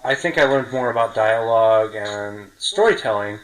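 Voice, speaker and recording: A man's voice: fairly low pitch, expressive, moderate pace, a bit bright, low-quality recording. A man hosting a podcast, talking casually and explaining his opinions at length. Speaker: podcast host.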